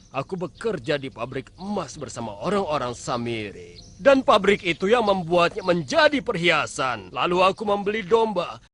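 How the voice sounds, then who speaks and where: Seorang aktor pria memerankan ayah paruh baya yang pemarah, licik dan suka membual dalam kartun religi anak, dengan gaya teatrikal. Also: male voice, a little high. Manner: theatrical, scolding. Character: irritable scheming middle-aged father, boastful shepherd and trader. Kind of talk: dubbed children's religious cartoon acting. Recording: loud music behind the voice.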